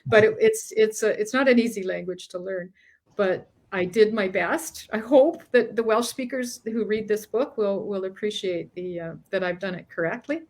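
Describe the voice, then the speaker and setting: A woman's voice: mid-range voice, moderate pace, a little mellow. A middle-aged woman from Canada, a guest author chatting casually in an interview, often restarting sentences and laughing between thoughts.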